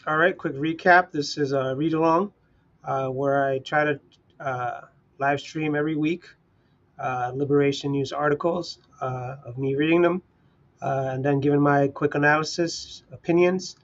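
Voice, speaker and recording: A man with a fairly high voice hosts a casual read-along livestream. His delivery is informal and unpolished, like an amateur reader. The audio is a little dull and lo-fi. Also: fairly even intonation, moderate pace. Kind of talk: casual livestream talk and reading articles aloud.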